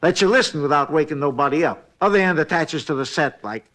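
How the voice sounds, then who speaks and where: An actor in a 1950s radio drama playing a talkative, folksy hotel night clerk who rambles in a rustic, ungrammatical drawl. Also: male voice, fairly high pitch, fairly bright tone, natural voice. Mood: chatty, proud. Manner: rambling, folksy, unhurried storytelling. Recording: vintage 1950s radio recording.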